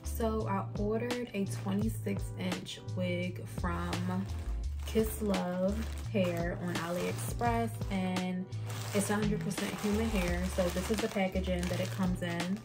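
A woman's voice: lowish voice, steady tone, slow pace, music in the background. A young adult woman with an American accent, a vlogger chatting casually to the camera. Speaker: beauty and lifestyle vlogger.

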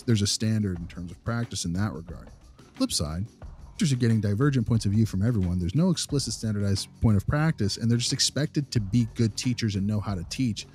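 A man's voice: on the low side, warm tone. A man talking through his opinions in a casual podcast discussion, repeating phrases for emphasis. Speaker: podcast co-host, behavior analyst.

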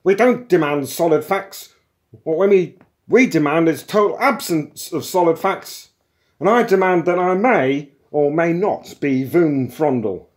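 Man doing a high, bawling young philosopher. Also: a little slow, natural voice. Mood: belligerent. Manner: shouting, emphatic, argumentative. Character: angry young male philosopher, belligerent, bawling demands.

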